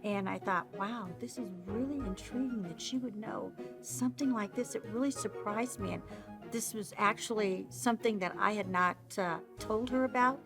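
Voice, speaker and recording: A woman's voice: mid-range voice, normal speed, over music. A woman from the United States speaking casually in an interview as a show participant. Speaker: reading client, film editor.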